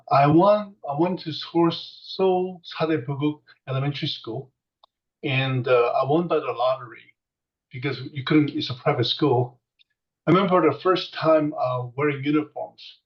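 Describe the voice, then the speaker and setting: A man's voice: medium pitch, vocal fry. A man in his sixties from South Korea, Korean his first language, telling childhood stories as an interviewee in a rambling way, with many fillers and restarts. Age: 60s. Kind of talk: reminiscing storytelling. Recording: distant muffled mic.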